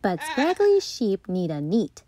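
A woman reading a children's picture book aloud as a storytime host, playful and deliberate, with dramatic emphasis. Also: medium pitch, wildly swooping pitch, dark tone, no echo. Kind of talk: expressive children's read-aloud narration.

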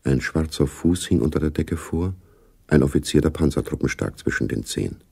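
A man narrating a German radio play as a sober, observant storyteller, with a dark, husky, gravelly voice. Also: rumbling low, expressive, a little fast, full character voice.

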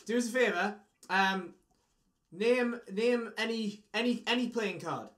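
Young british guy doing magic patter on video chat, high voice, casual. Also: measured pace, a bit bright. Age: 20s. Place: small room at home.

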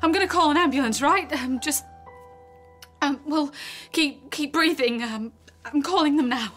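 Alarmed, very high-pitched caller. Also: female voice, a bit bright, soft background music. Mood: panicked. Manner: halting, urgent calling out. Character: alarmed, anxious woman calling to a friend. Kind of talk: radio drama acting.

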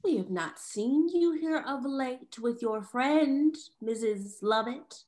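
A woman playing a poised, frank young gentlewoman who gossips, in a stagey Restoration comedy reading. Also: sits high, lively intonation, slow. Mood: poised, curious. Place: indoor home room on a video call.

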